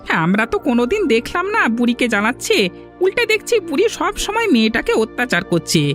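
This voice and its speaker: A swooping, quick-fire cartoon villager played by a man, outspoken and argumentative. He makes every point emphatically. Faint music plays behind him. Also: extremely high pitch, wildly swooping pitch, fairly bright tone, full character voice. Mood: doubting. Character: outspoken village man defending a wronged young widow. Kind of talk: cartoon voice acting.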